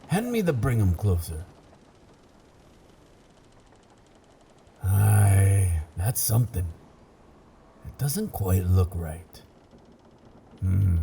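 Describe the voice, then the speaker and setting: A man playing a gruff, curious werewolf pirate captain in an audio roleplay, with a low gravelly voice, a stage pirate accent and slow, musing seafaring talk. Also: dark tone, slight background noise, heavily acted. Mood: curious, puzzled.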